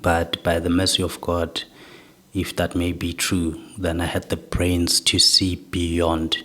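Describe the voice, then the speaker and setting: A young man from South Africa with a soft voice, reflectively telling his life story as an interview guest, often ending points with "right?". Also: low-pitched, monotone, slow pace.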